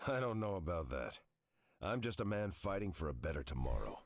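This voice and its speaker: Heroic captain, low husky voice, expressive swings, earnest, resolute, humble, video game. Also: male voice, a little fast, heavily acted. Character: steadfast, humble male soldier hero captain.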